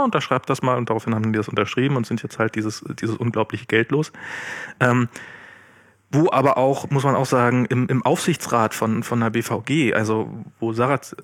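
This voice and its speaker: A man's voice: mid-range voice, a bit bright, dry recording. Male podcast co-host, mid-range voice, dry recording, casual and searching for words. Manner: halting, searching for words with many restarts. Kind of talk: casual discussion.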